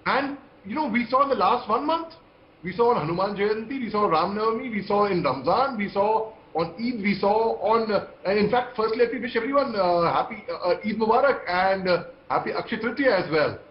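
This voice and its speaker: A man's voice: high voice, lively intonation, a little fast. A man with an Indian accent giving emphatic, impassioned, repetitive commentary as a panelist on a TV debate over a video call. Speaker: TV debate panelist, army veteran. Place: indoor room on video call. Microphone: video call microphone. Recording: muffled, echoey video-call audio.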